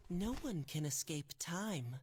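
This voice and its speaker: Husky, echoing, eerie child spirit. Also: female voice, low voice, expressive, slow, a little mellow, exaggerated voice. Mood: calm, eerie. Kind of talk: scripted video game cutscene acting. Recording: long cavernous reverb on game audio.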